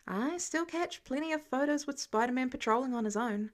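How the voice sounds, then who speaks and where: Casting: woman as nervous teen boy with a secret, hesitant and casual, highish voice, audiobook dialogue. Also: animated, brisk. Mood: casual evasion.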